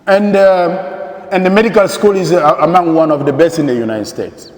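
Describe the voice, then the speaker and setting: A man's voice: a little high, moderate pace. A middle-aged man from the Democratic Republic of Congo, a priest preaching a sermon with repeated, emphatic phrases and rhetorical questions. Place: large church, indoors. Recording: echoey church with long reverb.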